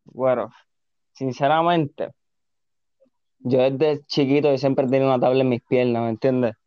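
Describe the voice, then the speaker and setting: A man's voice: medium pitch, fairly even intonation, moderate pace, clear, resonant tone, no room sound, lo-fi sound. A man of twenty-two from Puerto Rico with a Puerto Rican accent, a podcast guest chatting loosely in slang and swearing a lot. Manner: laid-back, slangy, swears constantly. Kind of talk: casual chat.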